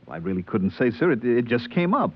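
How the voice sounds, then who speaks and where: A man's voice: medium pitch, big pitch swings, fast. An actor playing a timid, trusting spaceship purser in an old radio drama, hesitant and deferential as he talks and narrates. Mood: nervous, deferential. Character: timid, credulous male spaceship purser narrating his tale. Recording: muffled old radio recording.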